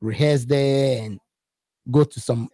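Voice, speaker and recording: A man with a Ghanaian accent, speaking as a guest in a radio studio. His voice is mid-pitched, and the mic sound is close and dry. He talks casually and often repeats a word or two before he gets going. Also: fairly even intonation, slow, mellow, dry recording. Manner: repeats words when starting a thought.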